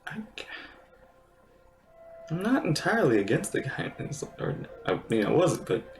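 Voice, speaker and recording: Woman streamer with a really low voice, unhurried musing game reactions, faint music behind. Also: very animated, a little mellow. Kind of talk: casual live commentary.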